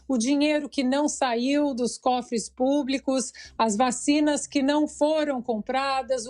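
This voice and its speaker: A slightly hoarse woman, giving indignant, sarcastic political commentary on a news talk show. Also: sits high, darkish tone. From Brazil.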